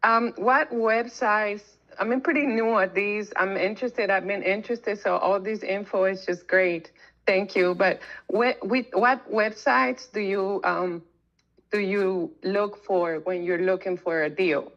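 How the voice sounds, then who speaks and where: A woman's voice: mid-range voice, unhurried. Woman, from Ecuador, eager caller, friendly, muffled lo-fi call sound. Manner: friendly, eager newcomer with restarts. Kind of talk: casual question on a call. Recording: dull lo-fi call audio.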